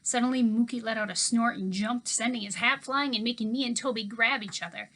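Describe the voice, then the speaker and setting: Teacher lady reading a chapter book out loud, expressive, kinda high voice. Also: brisk. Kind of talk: reading a novel aloud to a class.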